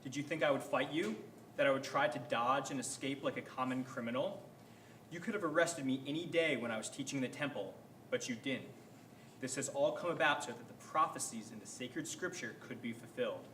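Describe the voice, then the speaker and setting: Man playing solemn holy man, assured, defiant, bright timbre, measured Bible drama delivery, roomy echo. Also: medium pitch, lightly acted. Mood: reproachful. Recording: roomy echo, faint background noise.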